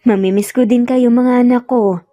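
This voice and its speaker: A woman's voice: fairly high pitch, fairly even intonation, normal speed, dark tone, no echo, clean studio sound, faint music behind, close to an everyday voice. A woman voicing a young mother in an audio story, caring and teasing with a slightly prickly edge. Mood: tender, reassuring.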